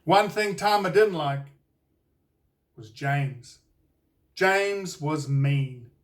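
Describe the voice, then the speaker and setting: A man with a New Zealand accent reading a children's storybook aloud in a deliberate, expressive storytelling way. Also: a little high, far from the mic. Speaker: children's author reading his own book. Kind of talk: scripted storybook narration for children.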